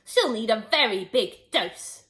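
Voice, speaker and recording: Woman voicing a scheming young boy, gleeful and low-voiced asides. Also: animated, measured pace, a bit bright. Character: mischievous boy scheming at grandma.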